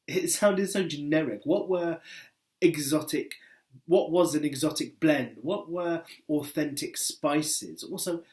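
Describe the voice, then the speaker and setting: A man's voice: fairly high pitch, slow, a little mellow. A man reading a personal essay aloud in a wry, conversational way, leaning on key words for emphasis. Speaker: book editor and essayist reading his work.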